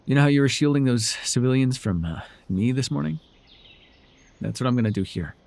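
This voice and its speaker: A man playing a proud, sardonic telepathic supervillain with hidden tenderness in an ASMR roleplay, theatrical and condescending with a husky voice. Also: medium pitch, lively intonation, a little fast, a little mellow, no echo. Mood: soothing, steady. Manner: theatrical, grandiose, flustered, dryly condescending.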